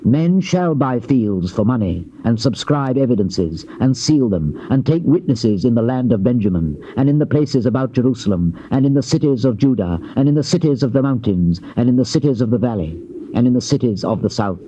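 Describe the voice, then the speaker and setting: An actor playing a fervent, solemn Old Testament prophet in a theatrical scripture drama, with grave, declamatory and measured archaic delivery. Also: male voice, medium pitch, expressive, warm tone, husky. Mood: solemn promise. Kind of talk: dramatized King James scripture reading, theatrical. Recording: muffled old cassette tape with hiss.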